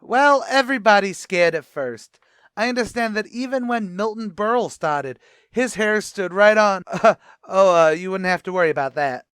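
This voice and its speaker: A man's voice: high voice, animated, normal speed, a bit bright, smooth, no room sound, clean studio sound. A man playing a brash, slangy tavern host with a New York accent in an old-time radio sitcom. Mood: pushy, friendly. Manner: slangy, mangled grammar, eager chatter.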